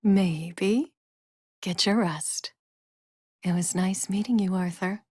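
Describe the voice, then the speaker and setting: An actress playing a coy, elusive young lady in a game cutscene, speaking softly and breathily. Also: on the low side, takes it slowly, slightly rough, dry recording, clean studio sound. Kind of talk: video game cutscene acting.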